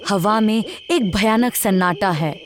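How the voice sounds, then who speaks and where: A woman's voice: mid-range voice, measured pace, background noise. A woman narrating a scripted horror story. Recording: music under the voice.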